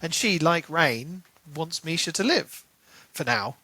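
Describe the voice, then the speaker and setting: Bright, higher-pitched man in casual podcast chat. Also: lively intonation, unhurried. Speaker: podcast co-host. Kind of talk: casual book discussion chat.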